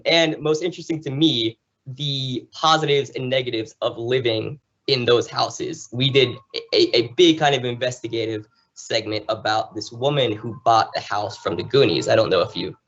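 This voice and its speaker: A man's voice: mid-range voice, normal speed, fairly bright tone. American man storytelling, slightly dull audio. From New Jersey, United States. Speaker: podcast guest, travel show host. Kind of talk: conversational interview, enthusiastic storytelling.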